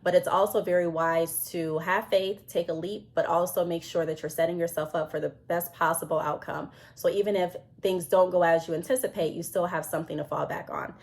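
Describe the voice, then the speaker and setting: A woman in her thirties with a somewhat low voice, audibly congested and under the weather. She talks to camera in a loose, chatty way, full of ums, and reads from her notes now and then. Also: steady tone, fairly quick, hardly any pauses, a little mellow. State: congested, sick with a cold. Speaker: business vlogger. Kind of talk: casual advice talk to camera.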